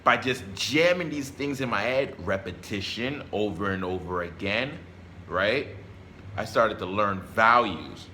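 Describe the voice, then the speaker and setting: A man's voice: lowish voice, animated, a little slow, pushed and bright, a little hiss or hum. A man giving a rambling, blunt motivational talk, casual and sweary, repeating phrases for emphasis. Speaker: self-help vlogger.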